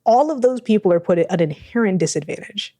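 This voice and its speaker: A woman's voice: medium pitch, lively intonation, fast talker, mellow, no echo, studio quality. A young adult woman co-hosting a casual podcast, sardonic, swearing freely and laughing often. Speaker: podcast co-host.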